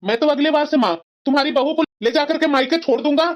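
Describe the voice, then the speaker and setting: Woman voicing a high-pitched, fault-finding young husband. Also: fast talker, natural voice. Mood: whining complaint. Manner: complaining, smug. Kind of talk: cartoon family drama dialogue.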